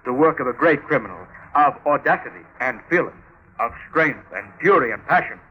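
A man's voice: medium pitch, lively intonation, fairly quick, husky, muffled recording. An actor playing a grandiloquent policeman in old-time radio comedy-drama, with a declamatory, portentous delivery. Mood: awed, grandiose. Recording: noisy old radio recording.

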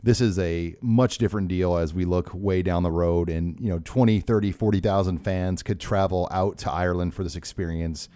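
A man's voice: deep voice, fairly even intonation, moderate pace, hardly any pauses, warm tone, no room sound, studio quality. A man with an American accent hosting a conversational sports talk show. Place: studio.

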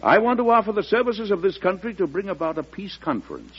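A man's voice: high voice, big pitch swings, fast, slightly rough, heavily acted. An American man acting an earnest, weary President pleading for peace in an old-time radio drama, speaking with oratorical emphasis. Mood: earnest, determined. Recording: dull, lo-fi vintage radio recording.

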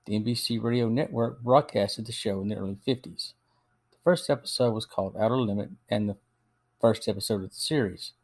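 A man's voice: lowish voice, brisk, darkish tone. A man hosting a podcast, reading a scripted review in a narrating style. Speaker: podcast host.

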